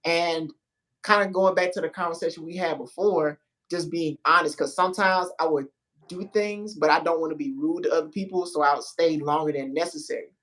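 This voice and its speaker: A host talks through personal growth in an easy, unhurried way, often circling back to find the words. They act out their inner voice in short, emphatic bursts. The pitch sits in the middle range. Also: fairly even intonation, a bit bright. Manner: loose, searching speech, acts out inner dialogue. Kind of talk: casual conversation.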